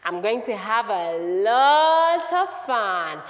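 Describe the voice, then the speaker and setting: A woman voicing an impulsive younger son in a children's story, with drawn-out, emphatic words. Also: fairly high pitch, expressive, in a large hall, lo-fi sound, lightly acted. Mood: gleeful. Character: impulsive younger son, greedy, then sorry.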